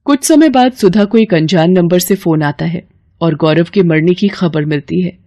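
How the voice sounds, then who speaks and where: A woman's voice: lowish voice, normal speed, mellow, dry recording, clean studio sound. A woman storyteller reading scripted narration for a moral bedtime story.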